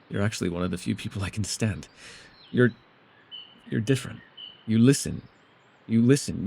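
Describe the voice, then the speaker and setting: A man playing a reclusive, centuries-old elf in a fantasy audio roleplay, guarded and awkward, with an earnest, halting delivery. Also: lowish voice, gravelly, darkish tone, slightly rough.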